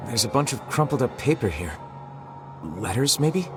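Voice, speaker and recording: A man's voice: mid-range voice, very animated, moderate pace, lightly acted. A man playing an earnest, plain-spoken young hero in a video game. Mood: concerned. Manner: casual, blunt. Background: game music.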